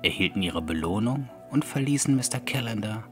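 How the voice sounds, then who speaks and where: A man narrating a scripted horror audiobook in a measured, deliberate storytelling manner. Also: on the low side, expressive. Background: soft background music.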